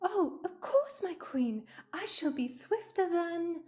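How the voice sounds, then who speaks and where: Fawning little royal lackey: very high, slow, stammering and eager to please, for fantasy audio drama. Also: female voice, expressive, darkish tone, faint music behind, exaggerated voice. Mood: nervous, fawning. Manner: obsequious, quavering, stammering. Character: timid, fawning, eager servant of a monster queen. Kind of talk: audio roleplay acting.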